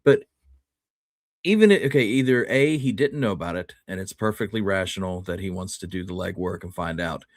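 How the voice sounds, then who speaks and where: A man with a fairly low voice chats as a history show co-host. He is casual and opinionated, thinking through his points out loud one at a time. The recording is dry, with hardly any room sound. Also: fairly quick, dry recording. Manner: informal, reasoning aloud point by point.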